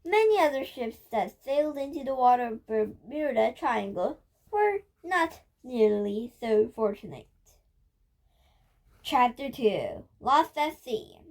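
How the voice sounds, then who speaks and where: A female child reads a book aloud in a high voice. She takes it slowly and carefully, word by word, with the odd halting stumble along the way. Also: a little mellow, rough recording. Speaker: reader of a children's nonfiction book.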